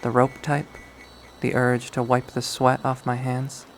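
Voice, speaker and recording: A man reading a visual novel aloud as dramatic first-person narration, in a measured way. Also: medium pitch, completely flat delivery. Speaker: game player reading aloud. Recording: faint background noise.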